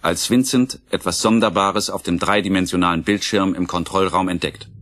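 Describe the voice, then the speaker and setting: A man narrating a radio play with solemn, dramatic and deliberate storytelling. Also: low voice, fairly even intonation, a bit bright.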